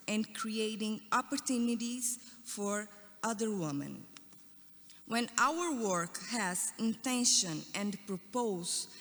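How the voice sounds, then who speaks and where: A woman whose first language is Portuguese, speaking English with a Portuguese accent as a panelist and delivering short, slogan-like maxims. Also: mid-range voice. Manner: deliberate, slogan-like phrasing. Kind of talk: prepared speech of short maxims. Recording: long hall reverb.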